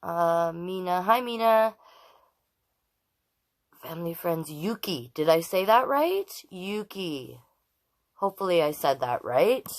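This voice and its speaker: A woman's voice: on the low side, lively intonation, slow, pauses often. A woman hosting a children's storytime, chatting casually with viewers and reading aloud in an exclaiming, direct way. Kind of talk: casual livestream chat and read-aloud to children.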